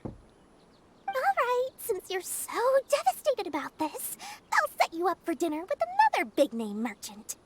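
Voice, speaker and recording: Squeaky, smug little merchant girl. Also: huge pitch swings, normal speed, background noise, exaggerated voice. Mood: haughty, calculating. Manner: theatrical, haughty, teasing. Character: shrewd, smug young female merchant, childlike and money-minded. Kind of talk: video game character acting.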